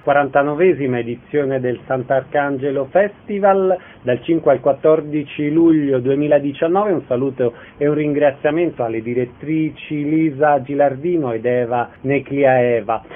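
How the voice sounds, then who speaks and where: A man's voice: medium pitch, moderate pace, hardly any pauses. A man hosting a radio interview, putting questions and presenting his guests. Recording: narrow, phone-like radio sound.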